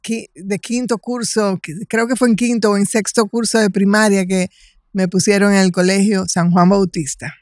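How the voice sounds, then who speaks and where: A woman's voice: medium pitch, fairly even intonation, moderate pace, no room sound. Dominican woman in her sixties, dry close sound. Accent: Dominican Spanish. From Dominican Republic. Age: 60s. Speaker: podcast guest, writer and cultural promoter. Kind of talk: casual conversational storytelling.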